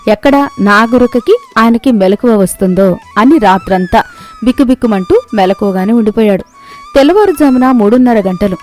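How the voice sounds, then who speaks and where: A woman with a fairly high voice tells a moral story as scripted narration. She works as the storyteller, and soft music plays behind her. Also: expressive, normal speed.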